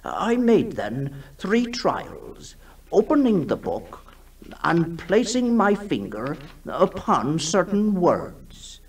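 A fussy period gentleman in an old radio play, husky and slow. His formal delivery halts and swoops, a little away from the mic. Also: sits high, huge pitch swings, full character voice. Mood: hesitant, earnest. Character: scholarly, hesitant old-time gentleman writing a solemn account. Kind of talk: 1960s radio drama acting. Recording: distant 1960s radio recording.

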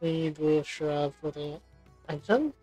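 A woman's voice: sits low, animated, drawn-out words, warm tone. A woman doing a casual game playthrough, reading on-screen text aloud and commenting. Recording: voice over game music. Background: game music.

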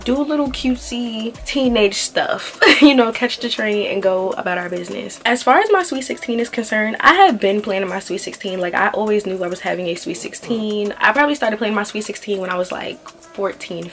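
A woman's voice: medium pitch, moderate pace. A woman in her early twenties telling a story casually and informally, sounding a little tired and nasal from a head cold. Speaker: vlog host. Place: small indoor room with clothing rack.

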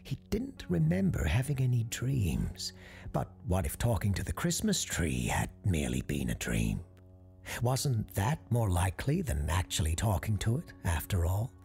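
A woman tells a scripted ghost story, her delivery hushed and often slipping into a whisper. Her voice is deep and gravelly and moves through a wide pitch range. Faint music plays beneath her. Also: big pitch swings, moderate pace. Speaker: narrator.

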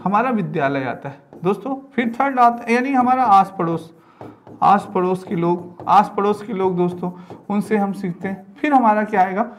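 A man's voice: sits high, moderate pace, a little hiss or hum. A man in his thirties giving an explanatory classroom lecture, repeating phrases and asking rhetorical questions. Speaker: teacher giving an exam-prep class. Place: indoor studio with digital teaching board.

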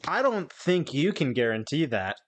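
A man's voice: fairly high pitch, sing-song, normal speed. A man bantering casually as a player on a tabletop game podcast, with wry, dry humour.

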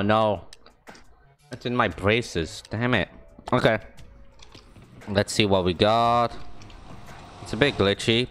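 A young man casually talking to himself while streaming a video game, rambling and joking, with high yelps in fights. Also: a bit bright, clean tone. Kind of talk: casual gaming stream commentary.

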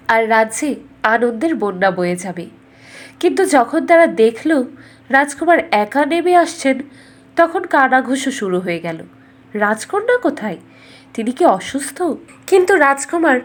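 Higher-voiced woman storyteller, dramatic delivery. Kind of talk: scripted fairy tale narration.

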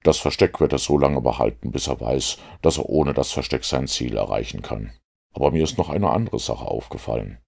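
A man's voice: a bass voice, a little fast, gravelly, a little mellow, breathy edge, full character voice. A man reading the part of a self-assured, analytical detective in an audiobook, speaking precisely and with command.

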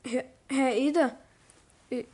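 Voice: high voice, fairly even intonation, slow pace, a little mellow. A performer playing a timid, guilty teenage boy apprentice in a 1960s radio play, hesitant and stammering, with a Bavarian accent. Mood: nervous, guilty.

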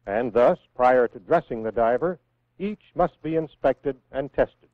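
An American man reading scripted instructions in a measured, clearly enunciated way, as the narrator of a 1960s military training film. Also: medium pitch, lively intonation, no echo. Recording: phone-like vintage 1960s film soundtrack.

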